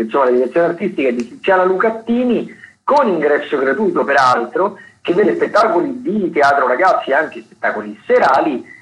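Man reading theatre listings on radio. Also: on the high side. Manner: hesitant, many fillers and restarts. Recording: dull lo-fi radio broadcast.

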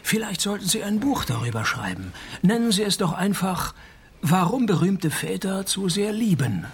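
An actor playing a wry private detective who narrates and talks in a dry, sarcastic deadpan in a radio play. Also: male voice, sits high, moderate pace, husky, heavily acted. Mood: mocking deadpan. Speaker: narrator and lead character.

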